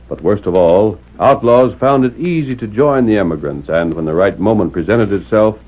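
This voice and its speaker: An American man narrating a 1930s Western radio drama in a dramatic, declamatory style as a stentorian announcer. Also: fairly low pitch. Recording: dull vintage radio with music behind.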